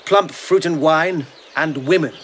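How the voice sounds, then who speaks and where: A man's voice: on the high side, unhurried, slight background noise, natural voice. An actor playing a haughty, commanding lord and swordsman who is easily unnerved, in a radio drama. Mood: haughty, irritated.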